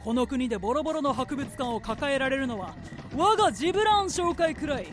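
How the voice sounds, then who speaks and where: A woman's voice: sits high, expressive, fairly quick, faint music behind. A woman acting a brash, proud, scheming head of a trading company in a radio drama, with imperious, blunt phrasing. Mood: brusque, annoyed.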